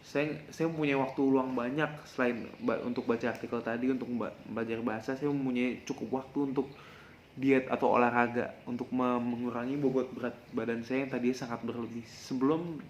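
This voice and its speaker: A young man with a mid-pitched voice talks casually to his podcast listeners. He rambles and corrects himself as he shares personal tips. The room is echoey, with a faint layer of noise behind him. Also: fairly even intonation, brisk, dull audio. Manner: rambling, self-correcting, confessional, addresses listeners directly. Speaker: podcast host.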